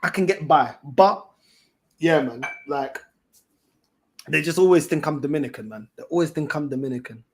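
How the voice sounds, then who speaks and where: Husky London man, chatting casually. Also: a little high, moderate pace, long pauses. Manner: slangy, repetitive, emphatic. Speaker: fan channel host. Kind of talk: casual livestream chat.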